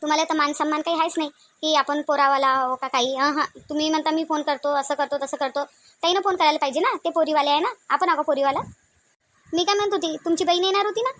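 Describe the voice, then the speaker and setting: A woman plays a middle-aged cartoon village wife with a sharp tongue and a Varhadi accent from Vidarbha. The voice is extremely high and the words come quickly. She nags and grumbles, piling up scornful rhetorical questions. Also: fairly even intonation. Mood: complaining. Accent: Varhadi Marathi of Vidarbha. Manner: complaining, scolding, rhetorical questions. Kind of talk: comic cartoon dialogue.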